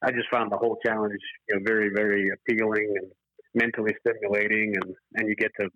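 A man's voice: fairly low pitch, level tone, quick pace, highs cut off. A man in his forties from Zimbabwe and California talks as an interview guest, earnest and reflective, in stop-start phrases over a remote call. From Zimbabwe, then California from age eight. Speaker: interview guest, horse racing professional. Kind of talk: relaxed interview conversation. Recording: boxy, echoey, band-limited remote call.

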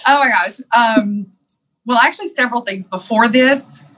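A woman's voice: on the high side, normal speed, sharp tone, rough recording. A woman guest in an interview, telling her life story in a candid, reflective way with many "um"s and "you know"s. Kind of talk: candid personal storytelling in conversation.

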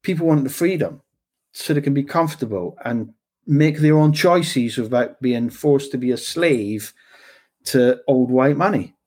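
A man's voice: medium pitch, measured pace, a little mellow, breathy edge. A man with a Scottish accent who talks loosely in a casual conversation as the host, often asking "do you know what I mean".

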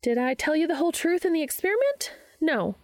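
A woman's voice: high voice, sing-song, brisk, darkish tone, voice pushed up. A woman voicing a perky, impish, evasive woman in an audiobook story. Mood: sheepish.

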